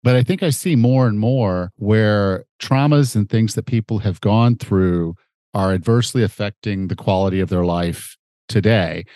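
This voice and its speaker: A man's voice: fairly low pitch, moderate pace, dark tone, no room sound, clean studio sound. A middle-aged man with a slightly nasal, stuffed-up voice hosting a conversational podcast. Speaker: podcast host and fitness coach.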